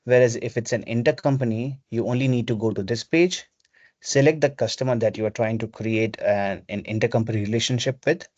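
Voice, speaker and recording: Male software trainer, mid-pitched, instructional, explaining procedures over lo-fi call audio. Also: brisk, no room sound. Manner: step-by-step, instructional. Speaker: webinar presenter. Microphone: video call microphone. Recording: dull, lo-fi video call audio.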